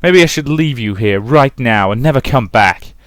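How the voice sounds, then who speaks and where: A man's voice: mid-range voice, expressive, normal speed, dry recording, clean studio sound, pitched above the performer's own voice, close to an everyday voice. A man voicing an abusive, volatile mother in a visual novel, with theatrical, emphatic delivery. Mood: furious, menacing. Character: abusive mother, volatile, domineering, cloying when remorseful. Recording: music behind the voice.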